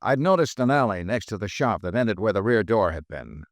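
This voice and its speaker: An actor playing a persistent insurance investigator who narrates a 1950s American radio drama in a wry, dry way. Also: male voice, on the low side, very animated, brisk, dry recording. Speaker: lead and narrator.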